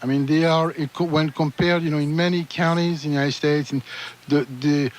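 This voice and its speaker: A man's voice: a little high, steady tone, brisk, faint music behind. A man from France with a French accent, a guest architect on a radio interview show, explaining with frequent restarts. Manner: hesitant, many restarts.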